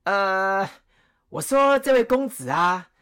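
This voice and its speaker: A woman's voice: mid-range voice, animated, takes it slowly, fairly bright tone, smooth. A woman playing Cao Cao, a wry, menacing chancellor who lectures mockingly and makes sly threats. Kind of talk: radio drama acting.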